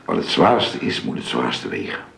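An actor playing a caring, grave middle-aged or older father in a Dutch radio drama, speaking in a gentle, solemn manner. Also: a bass voice, huge pitch swings, normal speed, creaky, full character voice. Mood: grave, tender. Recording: roomy, faintly noisy radio play recording.

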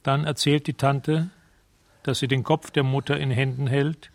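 An actor playing a detached narrator, giving sober, measured scene descriptions in a radio drama. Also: male voice, medium pitch, level tone, creaky, dry recording.

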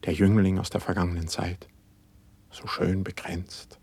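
Slow, husky, dreamy poet. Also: male voice, deep voice, little pitch movement, darkish tone, exaggerated voice. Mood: rapt, yearning. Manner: lofty, reverent, declamatory. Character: dreamy young male poet, rapt and humble. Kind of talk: classical verse radio-play acting.

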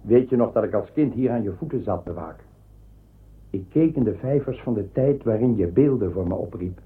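A man's voice: fairly low pitch, lively intonation, brisk, slightly rough. Man playing a sly emperor, narrow-band sound. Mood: nostalgic. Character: sly, imperious emperor of a planet. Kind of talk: science-fiction radio play acting. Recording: narrow phone-like band, faint noise.

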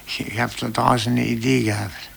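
An actor playing an idle, self-conscious office worker who muses and confides in a 1950s German radio drama. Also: male voice, medium pitch, little pitch movement, a little fast, gravelly, a bit bright, husky, exaggerated voice. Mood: earnest, confiding. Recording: faintly noisy old cassette radio recording.

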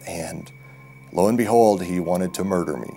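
Very deep-voiced young American man. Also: moderate pace, warm tone. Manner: informal, candid. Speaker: witness, former schoolmate. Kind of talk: interview recollection. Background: faint music.

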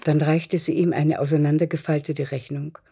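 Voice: mid-range voice, little pitch movement, moderate pace, gravelly, warm tone, slightly rough. A performer as a composed literary narrator, reading scripted narration in a radio drama. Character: composed literary narrator of a nineteenth-century novel.

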